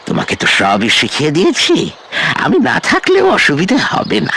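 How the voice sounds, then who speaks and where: A man playing an older, solemn tantric guru in a dramatised horror audio story, speaking gravely and emphatically. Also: a little high, wildly swooping pitch, normal speed, sharp tone, a little hiss or hum, heavily acted. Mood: grave warning. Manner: grave, emphatic, theatrical delivery. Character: solemn, commanding male tantric guru warning his disciple.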